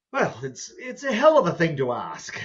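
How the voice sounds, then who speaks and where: A man's voice: on the high side, husky, heavily acted. Man acting a flustered, swooping reluctant doctor, muffled. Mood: dismayed. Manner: hesitant, protesting. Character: flustered, reluctant mild male doctor, easily put upon. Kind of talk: stage farce readers theater acting.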